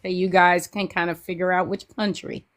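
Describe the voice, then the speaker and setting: A woman's voice: on the low side, flat delivery, brisk, fairly bright tone. Emphatic Midwestern woman caller. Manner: emphatic, opinionated, punchy endings. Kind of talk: casual call-in chat.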